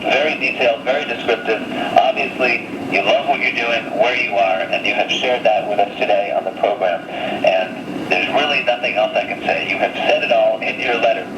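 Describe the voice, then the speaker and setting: Male shortwave radio host, measured and articulate letter-reading delivery, echoey signal with plenty of noise. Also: medium pitch, muffled recording. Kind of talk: reading a listener's letter on air.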